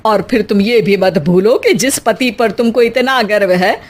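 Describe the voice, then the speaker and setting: A woman playing an elderly, fussy yet soft-hearted mother-in-law in a dramatized moral story. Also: medium pitch, a little slow, natural voice. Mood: earnest.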